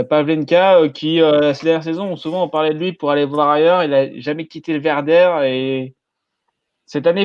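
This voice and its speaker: A man talking casually on a podcast, hesitant and filling pauses with euh. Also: on the high side, clean tone, no room sound, highs cut off. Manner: hesitant, many fillers. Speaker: podcast panelist.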